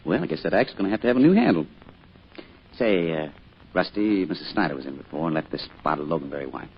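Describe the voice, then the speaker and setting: A fond, easygoing American druggist father telling family stories in an old radio drama. His low voice moves expressively through plain, folksy phrases. The old recording is muffled, with light hiss. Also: expressive, fast talker. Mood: wry, affectionate. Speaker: narrator and father character.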